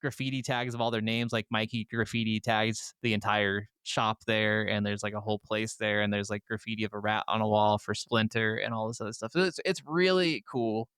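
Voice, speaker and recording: A man's voice: lowish voice, fairly even intonation, a little fast, hardly any pauses, fairly bright tone, smooth, no room sound. A man co-hosting a podcast, chatting casually about video games in an enthusiastic, freely swearing way. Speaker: podcast co-host.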